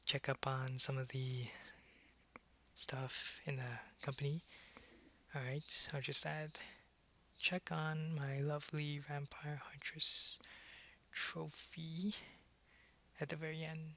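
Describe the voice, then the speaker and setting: A man playing a suave, possessive, centuries-old vampire in an ASMR roleplay, taunting and condescending, often in a breathy half-whisper. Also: fairly high pitch, little pitch movement, pushed and bright, a little hiss or hum, full character voice. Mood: pleased, musing. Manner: slow, deliberate, taunting, condescending.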